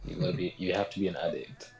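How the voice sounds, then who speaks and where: A man's voice: deep voice, steady tone, brisk, creaky, faint music behind. A man in casual chat on a video call, curious and teasing as he asks other traders questions.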